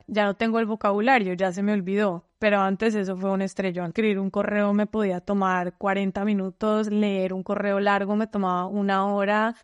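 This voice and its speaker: A woman's voice: medium pitch, no room sound, clean studio sound. A Colombian woman talking as a podcast guest in casual conversation, often restarting phrases and using fillers. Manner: talkative, frequent restarts and fillers. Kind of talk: casual interview chat.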